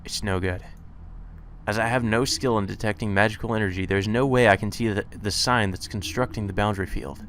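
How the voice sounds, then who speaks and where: A man's voice: lowish voice, steady tone, a little fast, a bit bright, a little hiss or hum. A man reading a visual novel aloud as a let's player, mixing the game's text with casual asides.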